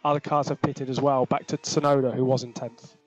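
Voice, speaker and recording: A man working as a race engineer, giving measured, clipped, often repeated instructions over team radio. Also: medium pitch, level tone, dull audio. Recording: noisy team radio link with clicks.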